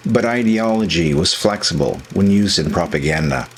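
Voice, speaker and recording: A man's voice: deep voice, animated, normal speed, a little hiss or hum. A man reading scripted historical narration as a documentary narrator.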